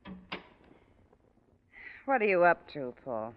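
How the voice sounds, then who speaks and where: An actress playing a worried, doubting middle-aged wife in an old-time radio drama, questioning anxiously. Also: female voice, fairly low pitch, very animated, slow, highs cut off. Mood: anxious, doubtful.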